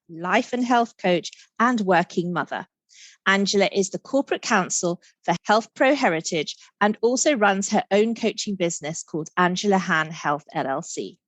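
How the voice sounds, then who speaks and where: A woman's voice: mid-range voice, no room sound. A woman hosting an interview podcast, reading a guest introduction and asking short, friendly questions. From Singapore. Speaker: podcast host.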